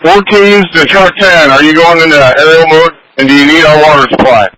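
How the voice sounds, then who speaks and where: A man's voice: on the high side, a little fast, a crowd in the background. A man working as a firefighter, giving terse operational messages over a two-way radio. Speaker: firefighter on fire department radio. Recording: noisy fire radio scanner feed.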